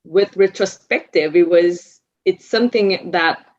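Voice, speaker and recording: A woman's voice: on the low side, unhurried. Reflective woman, Vietnamese first language. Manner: reflective, searching for words with many restarts. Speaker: podcast guest. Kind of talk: casual conversation. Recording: slightly dull lo-fi sound.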